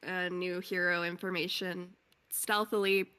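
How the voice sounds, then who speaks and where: A woman guesting as a voice actor on a casual livestream, animated and prone to giggling. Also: lowish voice, a little slow, clear, resonant tone.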